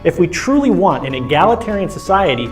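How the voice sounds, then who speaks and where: Woman playing an earnest, low-pitched debater puppet. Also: sing-song, a little fast, soft background music, poor audio. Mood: firm. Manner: didactic, explanatory. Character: American Johnson, earnest progressive male debater puppet.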